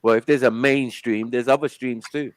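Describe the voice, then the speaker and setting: A man's voice: medium pitch, steady tone, moderate pace, no room sound. Insistent man cutting in on a panel. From Africa. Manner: talks over others, insistent, says "hold on" often. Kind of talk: heated casual panel discussion. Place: online call.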